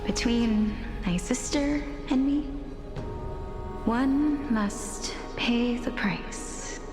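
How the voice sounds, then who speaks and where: A gentle, melancholy young maiden from a video game, with a fairly high voice. She speaks softly and very slowly, pausing as if hesitant. Long reverb surrounds her, with clear music behind. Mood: sorrowful, resigned. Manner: soft, hesitant, measured delivery with pauses. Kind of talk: dramatic video game voice acting.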